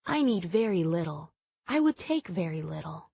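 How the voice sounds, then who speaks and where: A woman voicing a small, sick young female sparrow in a children's storybook, pleading gently and respectfully. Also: lowish voice, big pitch swings, normal speed, vocal fry, husky, no echo, heavily acted. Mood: timid, respectful.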